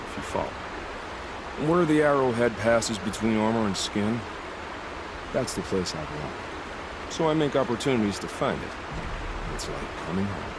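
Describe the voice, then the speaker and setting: An actor playing a cocky ex-soldier mercenary in a video game, who savors killing for sport in a smug, theatrical way. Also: male voice, fairly low pitch, noisy background, close to an everyday voice. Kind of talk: video game character acting.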